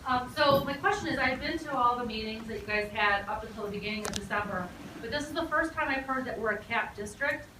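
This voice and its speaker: Woman asking from the audience, polite, slightly high voice, roomy and far from the mic. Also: fast. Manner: polite, direct questioning. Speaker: audience member asking a question. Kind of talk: question from the floor. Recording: echoey, distant, with faint noise.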